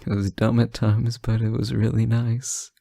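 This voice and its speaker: A man playing a sleep-deprived, teasing boyfriend on an intimate late-night call, soft-spoken, hesitant and gently joking. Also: medium pitch, level tone, normal speed, gravelly, dry recording, studio quality. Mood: playful, fond. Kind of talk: intimate audio roleplay, one side of a phone call.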